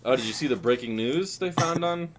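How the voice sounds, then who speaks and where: A man co-hosting a podcast in casual chat, sounding nasal as he gets over a cold, telling dry deadpan jokes and laughing often. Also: mid-range voice, brisk, noisy background. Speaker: podcast co-host.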